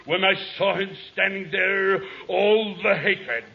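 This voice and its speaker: A man's voice: high-pitched, takes it slowly, creaky, full character voice. An actor playing a raggedy vagrant convict who tells his tragic life in florid, theatrical speech, in 1940s radio comedy style. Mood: brooding, dramatic. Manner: florid, theatrical, formal diction. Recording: muffled old radio broadcast recording.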